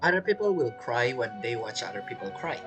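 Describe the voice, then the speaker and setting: Animated game streamer with non-native English. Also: medium pitch, fairly quick, music in the background. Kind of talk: casual let's play commentary.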